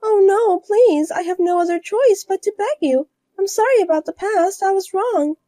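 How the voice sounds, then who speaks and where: A woman's voice: very high voice, normal speed, mellow, no echo, pitched above the performer's own voice. A woman voicing a pushy, scheming young woman who whines and pleads. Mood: pleading. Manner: whiny and pleading. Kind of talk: storybook voice acting.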